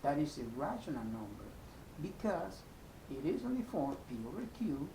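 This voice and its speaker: A man's voice: sing-song, moderate pace. A man from El Salvador with a Salvadoran Spanish accent teaches math in an informal, step-by-step way, repeating himself and checking in as he goes. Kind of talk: informal video lesson. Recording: echoey room, clear rumbling noise.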